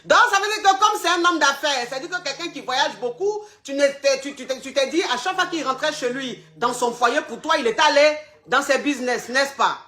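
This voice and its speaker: Blunt high-voiced woman giving advice. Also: fairly quick, hardly any pauses, a bit bright. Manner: blunt, calls caller "ma chérie", repeats herself. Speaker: advice show host. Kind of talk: live call-in advice chat.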